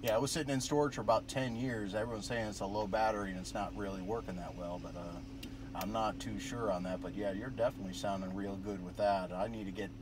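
A man's voice: fairly low pitch, fairly even intonation, quick pace. An American man chatting casually over the radio in a rambling, easygoing way, recorded in a noisy parked car. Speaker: amateur radio operator. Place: inside a parked car at roadside with windows down.

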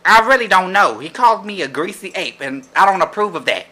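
A man voicing a loud, sassy, indignant big woman as a prank caller, brash and insistent in a comic complaint. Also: sits high, lively intonation, full character voice, rough recording. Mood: polite indignation. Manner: brash, confrontational, drawn-out insistent phrasing. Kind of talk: improvised comic prank call. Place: living room at home.

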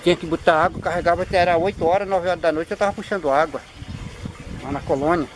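A man's voice: a little high, steady tone, quick pace, slight background noise. A man, chatting outdoors about his life and stumbling over words. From Pará, Brazil. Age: 60s. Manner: rambling, storytelling, stumbles on words. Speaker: fishing-spot worker being interviewed. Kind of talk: casual chat. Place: outdoors by a river, sitting at a wooden table. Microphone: camera on the table in front of him.

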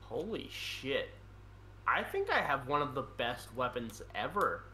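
Animated, bright-voiced man bantering. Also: medium pitch, a little slow, a little hiss or hum. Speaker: streamer hosting an online card game with friends. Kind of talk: casual profane gaming banter.

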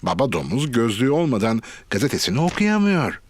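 A man playing a cheerful storybook narrator for a children's cartoon, speaking clearly in an animated storytelling manner. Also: mid-range voice, brisk, slight background noise, lightly acted.

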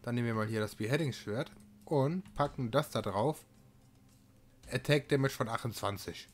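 A man's voice: medium pitch, normal speed. A man giving casual let's-play commentary as a gaming video host, thinking aloud while he plays. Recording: music behind the voice.

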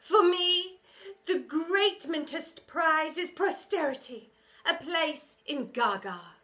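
A woman's voice: sits high, animated, takes it slowly, pushed and bright, voice pushed up, exaggerated voice. A woman voicing a vain, pompous female art teacher who drags out her words and mangles them with made-up endings. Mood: grandiose. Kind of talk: storybook character voice.

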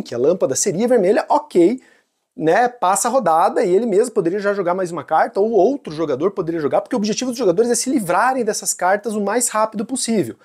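A woman's voice: mid-range voice, darkish tone, slightly rough. A Brazilian woman presenting a board game tutorial and review in an informal, conversational way, often checking in with short tags. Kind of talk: casual explanatory tutorial and review.

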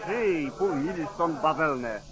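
An actor playing a crude, gossiping lynch-mob member, sneering and insinuating, in an old Polish radio drama. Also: male voice, a little high, animated, measured pace. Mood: sneering, gossipy. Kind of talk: mid-century Polish radio drama acting. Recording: old 1940s radio recording, crowd.

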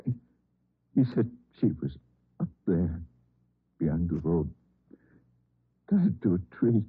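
A shattered father in a vintage radio play. His low, very husky voice drags out halting, repeated phrases in dazed grief. The old recording is tinny and close. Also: expressive, dry recording, exaggerated voice. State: grief-stricken, near collapse. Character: distraught, broken father of a kidnapped girl. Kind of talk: old-time radio crime drama acting.

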